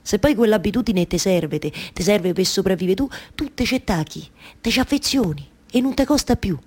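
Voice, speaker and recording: An actress playing a stubbornly hopeful waiting wife in a theatrical monologue, with a colloquial Roman accent and insistent repeated phrases. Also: female voice, mid-range voice, expressive, fairly quick, a little mellow, breathy edge. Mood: wistful, resigned. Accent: central Italian, Roman. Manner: incantatory, repeating phrases over and over.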